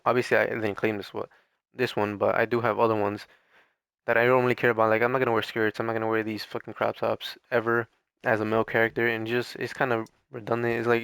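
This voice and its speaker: A man's voice: mid-range voice, fairly bright tone, clean tone, no room sound. A man doing casual gameplay commentary in an informal, rambling, hesitant way, his voice slightly strained as if starting to give out. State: losing his voice, mildly strained. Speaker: gaming video creator.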